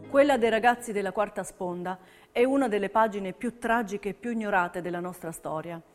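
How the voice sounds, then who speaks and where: Solemn female narrator, medium pitch. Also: fairly quick, soft background music. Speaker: stage narrator. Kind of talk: scripted documentary theatre narration.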